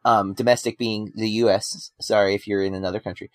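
A man co-hosting a casual film podcast, relaxed and conversational, with frequent ums and you-knows. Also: lowish voice, a little fast, no echo. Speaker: podcast co-host.

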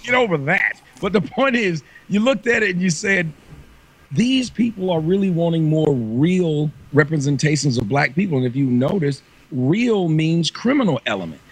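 A man's voice: on the high side, expressive, moderate pace, husky, poor audio. A man appearing as a podcast guest, giving blunt, emphatic commentary. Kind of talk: opinionated commentary.

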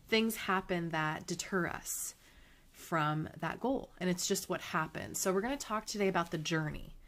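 A woman hosting her own podcast, talking in a casual, upbeat and encouraging way with a slightly nasal voice. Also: fairly low pitch. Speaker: podcast host. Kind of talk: casual motivational monologue.

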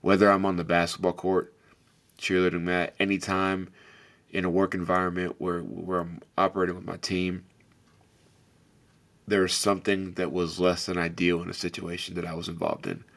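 A man's voice: sits low, level tone, moderate pace, gravelly, fairly bright tone. A man hosting a reflective motivational talk, speaking earnestly and directly to the listener in a big, carrying voice. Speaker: podcast host.

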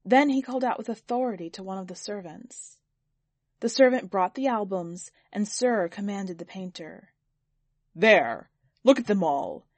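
A woman narrates fiction in an expressive, scripted reading style. Her pitch is average for a woman, and the recording is dry with very little room sound. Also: moderate pace, no room sound. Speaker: podcast editor and story reader. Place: quiet, dry recording space.

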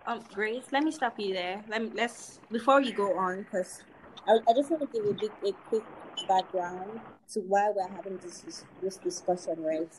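A woman's voice: mid-range voice, normal speed, a little hiss or hum, poor audio. Playful teen woman host. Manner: playful, teasing, steers the talk. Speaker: podcast host. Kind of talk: casual group podcast chat.

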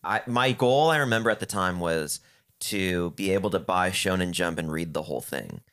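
A man's voice: deep voice, animated, normal speed, fairly bright tone. A young man with a Chicago accent in casual chat, talking out of the side of his mouth and stretching his syllables.

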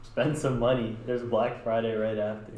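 A man's voice: medium pitch, flat delivery, moderate pace, dark tone. A young man co-hosting a podcast, casually debating with friends in informal slang. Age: young adult. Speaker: podcast co-host. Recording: roomy echo with faint noise.

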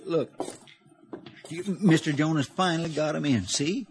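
Folksy, high-voiced western deputy played by a man, earnest, faint hiss behind. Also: normal speed, close to an everyday voice. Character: simple-minded frontier deputy, earnest and thrifty. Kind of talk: 1950s radio western acting.

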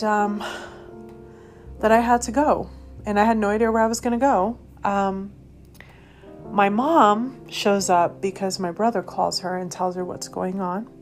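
Earnest woman telling her testimony. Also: mid-range voice. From Colorado, USA. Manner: earnest and halting, many pauses and fillers. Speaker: ministry host sharing her testimony. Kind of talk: personal testimony storytelling.

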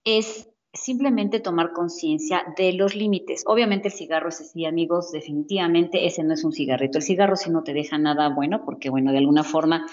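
A woman's voice: low-pitched, brisk, hardly any pauses, darkish tone. A woman explaining healthy habits in a casual chat, restarting phrases and adding fillers as she goes. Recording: dull lo-fi audio.

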